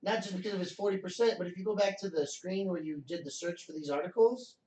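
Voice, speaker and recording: A man's voice: sits high, fast talker, warm tone, recorded from a distance. A man co-hosting a casual talk about news clips, backing his partner up and often tagging "right?". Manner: affirming, keeps saying "right?". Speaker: co-host. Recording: echoey, off-mic.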